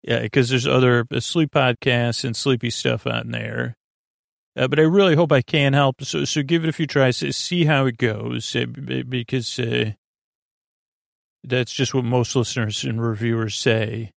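A man with a creaky voice hosting a bedtime podcast, talking in a soothing, meandering, halting monologue that often interrupts itself. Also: medium pitch, steady tone, no room sound, studio quality. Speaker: host of a bedtime sleep podcast.

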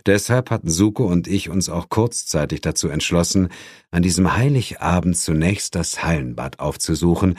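Male audiobook narrator, deep register, very dry sound, dramatic first-person delivery with dry wit. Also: husky, no room sound. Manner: wry, dry irony.

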